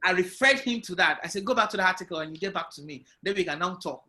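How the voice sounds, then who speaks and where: A man talks through his entrepreneurial journey in an online interview. His voice sits high and bright, and he tells his story loudly and with animation, as heard through a video call. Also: fast talker. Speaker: guest entrepreneur.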